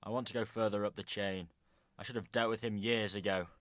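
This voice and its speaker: Man acting a low, menacing police chief. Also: fairly even intonation, a little fast, no room sound, close to an everyday voice. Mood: cold menace. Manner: sardonic, menacing. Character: ruthless, contemptuous corrupt male police chief. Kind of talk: radio drama dialogue.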